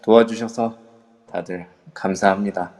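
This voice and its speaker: A man playing a male kendo instructor who leads a ghost-hunting group, calm and in charge. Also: lowish voice, a little slow, in a large hall. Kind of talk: amateur audio drama acting.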